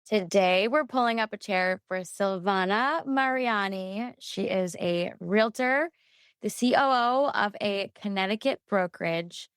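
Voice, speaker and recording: A woman co-hosting a podcast, reading its scripted introduction in an upbeat, clear way. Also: mid-range voice, a bit bright, no echo, clean studio sound. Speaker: podcast co-host.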